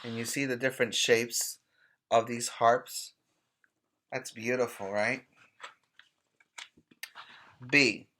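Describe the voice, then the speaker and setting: A man teaching preschoolers by reading aloud in a deliberate, emphatic way, pausing to put questions to his young listeners. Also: mid-range voice, fairly even intonation, sharp tone, a little hiss or hum. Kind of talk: read-aloud lesson for young children.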